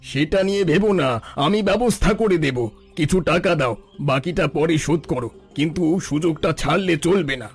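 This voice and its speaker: A man's voice: fairly high pitch, normal speed, husky, faint music behind. A man voicing a scheming, self-serving village shopkeeper in a Bengali cartoon story, pushy and persuasive. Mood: cajoling.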